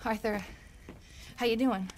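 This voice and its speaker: An actress playing an anxious, plainspoken frontier mother in a western game, halting and pleading, with an American accent. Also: fairly high pitch, steady tone, a little mellow, slight background noise, close to an everyday voice. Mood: worried. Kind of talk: emotional western game acting.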